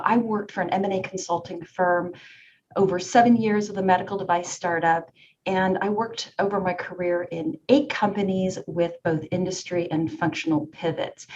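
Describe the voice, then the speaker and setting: A woman, a school's career management director, giving a polished, prepared overview over a video call and listing points crisply. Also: on the low side, a little mellow, muffled recording, a little hiss or hum. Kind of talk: prepared professional introduction.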